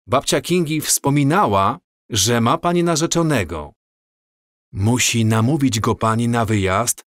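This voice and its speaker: A man playing an earnest time traveler in an audio drama, speaking gravely and in a measured, explanatory way. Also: fairly low pitch, a bit bright, no echo, clean studio sound. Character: earnest married time traveler warning of coming catastrophe.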